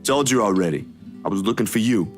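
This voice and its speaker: A woman voicing a defensive, emotional young man in a visual novel, in an expressive, incredulous manner. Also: very deep voice, fast, soft background music, voice pushed down.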